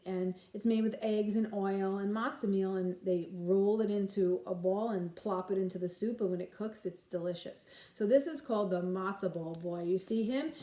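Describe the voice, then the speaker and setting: A woman reading aloud to young children like a nursery school teacher, expressive and explaining things simply, in a roomy space. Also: mid-range voice. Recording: roomy, echoing room sound.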